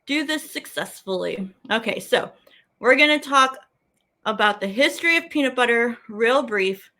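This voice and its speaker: Bright, high-pitched woman leading kids' activities. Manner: animated, playful, simple repeated phrases. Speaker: teaching artist hosting a children's show. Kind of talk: children's activity lesson, speaking to viewers at home.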